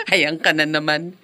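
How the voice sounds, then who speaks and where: Lively, gossipy Filipino friend. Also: female voice, deep voice, dry recording, full character voice. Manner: teasing, scolding, chatty. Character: frank, gossipy, exasperated woman friend of a mother. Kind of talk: Filipino radio drama acting.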